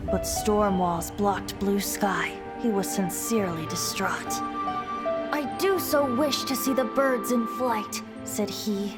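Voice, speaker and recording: A woman's voice: medium pitch, natural voice. A woman voicing a youthful, playful male wind spirit and bard in a game, telling old stories in a light, lyrical way. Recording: game audio with clear music.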